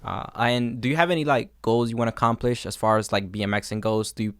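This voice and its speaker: A man's voice: mid-range voice, fairly even intonation, fast, fairly bright tone, no echo, studio quality. A man conducting a casual interview, asking rambling, informal questions. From has lived in a New York suburb.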